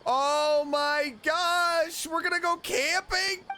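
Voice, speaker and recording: A game streamer giving casual, excitable, exclamatory commentary while playing a video game. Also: extremely high pitch, slow, a little hiss or hum. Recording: game music behind the voice. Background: video game music.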